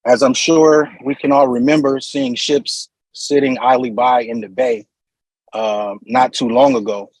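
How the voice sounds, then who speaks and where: A man giving a prepared public comment as a union representative on a remote call, measured and reading from notes. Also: medium pitch, steady tone, breathy edge, no room sound, poor audio. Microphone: remote call audio.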